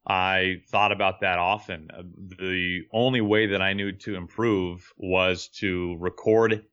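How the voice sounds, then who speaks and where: A young man with a deep voice, an earnest, reflective baseball broadcaster telling his story as an interview guest. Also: steady tone, unhurried, a bit bright, smooth, muffled recording.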